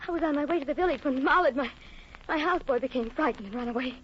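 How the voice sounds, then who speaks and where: A woman playing an anxious young wife in an old radio melodrama. Her voice is very high and theatrical, on a dull recording with faint hiss. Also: very fast, natural voice. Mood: shaken. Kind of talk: 1940s radio drama acting.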